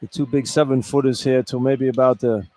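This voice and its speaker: A man's voice: mid-range voice, steady tone, a little fast, dark tone. A man giving live radio color commentary on basketball, explaining plays conversationally and often repeating his phrases. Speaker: color analyst. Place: college basketball arena. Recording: arena noise behind live broadcast. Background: arena ambience.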